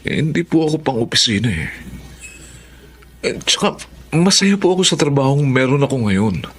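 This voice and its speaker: A man's voice: mid-range voice, very animated, normal speed, breathy edge. An actor playing a meek young writer, a son who answers his family hesitantly and apologetically. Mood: defensive. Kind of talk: audio drama acting.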